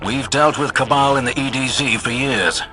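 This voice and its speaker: An actor playing an assured, seasoned tactical advisor in a video game, articulate and composed. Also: male voice, medium pitch, moderate pace, over music, natural voice, low-quality recording. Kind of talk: scripted video game voice acting.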